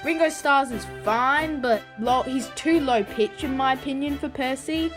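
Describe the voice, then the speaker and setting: High-pitched casual commentator with music behind. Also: unhurried. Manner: opinionated, informal. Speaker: video host.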